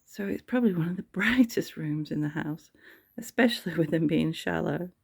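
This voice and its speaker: Soft-spoken sniffly English woman. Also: lowish voice, expressive, normal speed, creaky, breathy edge. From England. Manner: soft, often half-whispered, chatty. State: sniffly with hay fever. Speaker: home tour vlogger. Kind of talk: casual home tour narration. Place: cottage bedroom, indoors.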